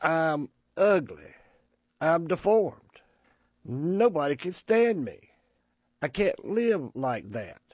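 A man's voice: a little high, very animated, slow pace, a little mellow, no room sound, full character voice. A man voicing a wounded young soldier who is bitter and despairing after learning he is blind. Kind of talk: audio drama acting. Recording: muffled lo-fi recording.

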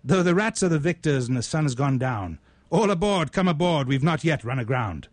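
A man speaks as a radio host and poet in a very dry recording with little room sound. His pitch sits in the middle, and his delivery is that of a storyteller sharing spoken word. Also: darkish tone, dry recording. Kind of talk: conversational storytelling and poetry recitation.

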